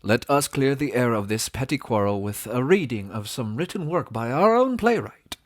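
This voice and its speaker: A man's voice: medium pitch, lively intonation, moderate pace, no echo, studio quality, close to an everyday voice. A man playing an authoritative, courtly duke in an audio drama, with stately, formal period speech. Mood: firm, courtly. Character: authoritative, courtly duke hosting his guests.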